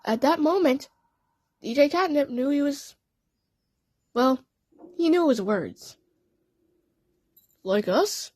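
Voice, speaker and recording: A woman reading out a scripted story with an expressive, storytelling delivery. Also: sits high, slow pace, pauses often, no echo. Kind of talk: scripted fan story narration.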